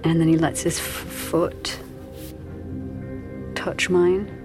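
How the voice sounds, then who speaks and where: Low-voiced, nervous, confiding married woman. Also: level tone, takes it slowly, over music. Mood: wistful, confiding. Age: 40s. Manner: hesitant, confiding, self-conscious. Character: nervous, wistful married woman in her forties confessing a fantasy. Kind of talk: intimate audio drama monologue.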